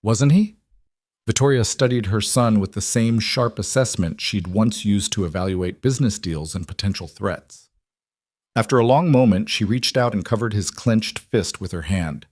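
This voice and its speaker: A man's voice: on the low side, normal speed, a little mellow, dry recording, clean studio sound. A man reading scripted, dramatic story narration in the manner of an audiobook.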